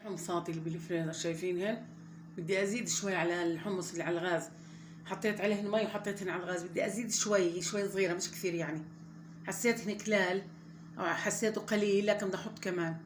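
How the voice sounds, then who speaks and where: A woman's voice: lowish voice, fairly even intonation, very fast. Woman rambling to her viewers while she cooks, echoey kitchen, noisy behind. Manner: chatty and rambling, talks straight to viewers. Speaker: home cooking channel host. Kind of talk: casual cooking vlog chat. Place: home kitchen at the stove.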